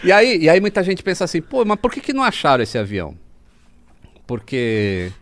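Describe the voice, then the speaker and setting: Animated Brazilian man explaining things casually. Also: fairly high pitch, fairly quick, dry recording. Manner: animated storyteller, mimics sounds while explaining. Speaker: podcast guest. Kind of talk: casual explanatory podcast talk.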